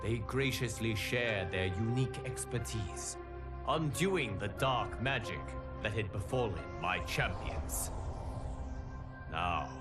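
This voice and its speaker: Solemn guardian-storyteller for a video game cutscene: lowish pitch, very unhurried, grand formal delivery over prominent music. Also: male voice, lively intonation. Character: solemn, eloquent male guardian of timelines, grave storyteller. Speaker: story narrator. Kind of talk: dramatic scripted video game cutscene narration.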